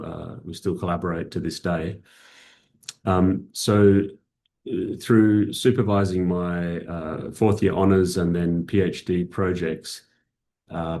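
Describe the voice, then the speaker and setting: A middle-aged Australian man with an Australian accent giving a formal conference keynote, measured and full of "uh" fillers. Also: low voice, darkish tone. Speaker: keynote speaker, academic.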